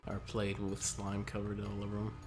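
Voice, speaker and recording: Giggly low-voiced man reading aloud. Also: completely flat delivery, normal speed. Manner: stumbles over the text, breaks into giggles. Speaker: co-host reading wiki entries aloud. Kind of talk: casual, jokey reading aloud with asides. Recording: music playing over the voice. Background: video game music.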